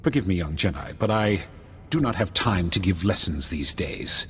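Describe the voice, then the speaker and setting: An actor playing a stern, reserved master of a knightly order in a video game, speaking formally and with measured care. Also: male voice, low-pitched, animated, fairly bright tone, a little hiss or hum. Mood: reserved, skeptical. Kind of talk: video game character acting. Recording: long hall reverb, music behind.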